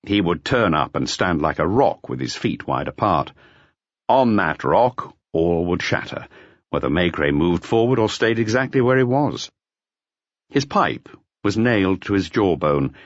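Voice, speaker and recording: A man with a low voice reads a mystery audiobook. His delivery is measured but expressive, moving widely in pitch, and the recording is dry and slightly dull. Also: dry recording. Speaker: audiobook narrator. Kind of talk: scripted mystery novel narration.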